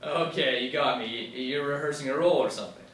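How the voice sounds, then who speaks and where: A man's voice: medium pitch, quick pace, a bit bright, lightly acted. Man reading as confident boyfriend, very echoey room. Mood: concerned. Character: smooth, self-confident businessman boyfriend in his early thirties. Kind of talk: script read aloud at a table reading. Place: small indoor room, seated group. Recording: very echoey room, distant mic, faint noise.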